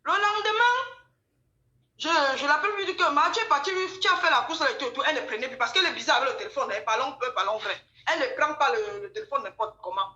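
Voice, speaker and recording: A woman with a Cameroonian French accent telling gossip casually, in an emphatic, animated way, repeating phrases and acting out dialogue. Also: sits high, quick pace, dull audio. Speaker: guest telling her account. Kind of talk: casual spoken storytelling, gossip. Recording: roomy, echoing sound.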